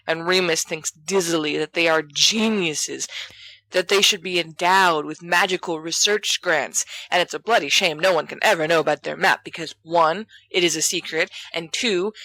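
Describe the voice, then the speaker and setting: A woman's voice: lowish voice, fairly bright tone, dry recording, rough recording. A woman reading scripted fiction aloud as an audiobook narrator. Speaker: audiobook reader.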